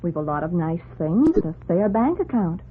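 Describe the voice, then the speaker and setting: A woman's voice: on the low side, big pitch swings, moderate pace. An actress in a 1940s radio drama playing a contented, conciliatory working man's wife, earnest and placating. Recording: narrow, noisy 1940s radio recording.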